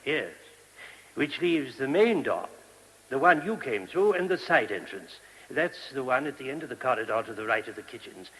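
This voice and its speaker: An actor in a radio play voicing a gentle, earnest monk who explains things courteously and a little hesitantly. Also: male voice, medium pitch, sing-song, moderate pace, husky, low-quality recording. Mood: earnest, explanatory. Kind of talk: radio drama acting. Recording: hissy old radio recording.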